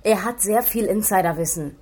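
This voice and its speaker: A woman's voice: medium pitch, moderate pace, warm tone, natural voice. An actress playing a level-headed, pointed female police investigator in a radio crime drama. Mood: frustrated. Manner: reasoning, pointed.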